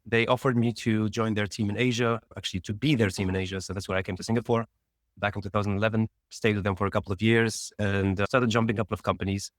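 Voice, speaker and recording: A man from Tunisia talks fast and a little low, piling up his story with quick restarts. He is a founder guest on a casual interview show. The sound is dry and close, with no room around it. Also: little pitch movement, dry recording.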